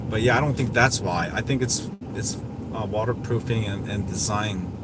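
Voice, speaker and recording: Rambling man over clear background noise. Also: fairly low pitch, normal speed. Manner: rambling, opinionated, repeats himself. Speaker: tech livestream host. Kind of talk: casual livestream chat, answering viewers.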